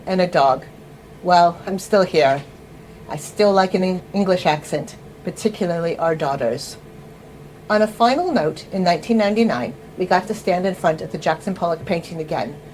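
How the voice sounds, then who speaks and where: Woman in her 50s reading a memoir, wry, deliberate, talks right to the listener. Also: fairly low pitch, lively intonation, a little mellow, slight background noise, poor audio. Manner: wry, deliberate delivery addressing the listener directly. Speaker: writer reading her own memoir piece. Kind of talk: first-person memoir reading.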